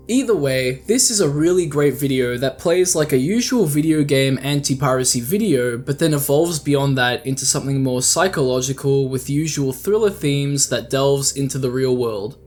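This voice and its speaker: A young adult man delivering casual scripted video commentary in an earnest, encouraging, informal way. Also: medium pitch. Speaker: YouTube video narrator. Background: music.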